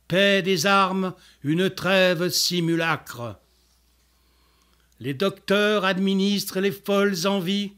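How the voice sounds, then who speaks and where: Male poet, notably high voice, solemn declamatory recitation, extremely slow delivery. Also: fairly bright tone. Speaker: poet reciting own poem.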